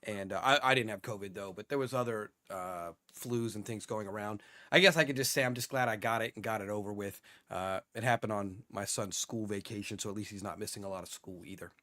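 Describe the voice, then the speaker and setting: A hoarse man hosting a livestream, talking through security news in a casual, rambling way with plenty of fillers. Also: fairly low pitch, a little fast, hardly any pauses, sharp tone. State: hoarse from being sick. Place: home studio, lives in Singapore.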